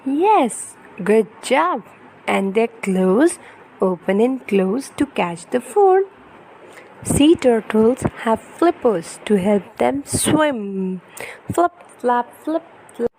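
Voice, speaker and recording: Woman, high voice, unhurried children's read-aloud, teacherly delivery, faint background noise. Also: very animated, darkish tone, poor audio. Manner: teacherly, addresses children, asks questions, exclaims. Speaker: host reading to young children.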